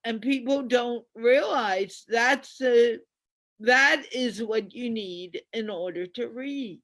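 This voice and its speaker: A woman hosting a conversational interview, speaking in a deliberate, affirming way. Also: fairly high pitch, fairly bright tone, no room sound. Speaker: host.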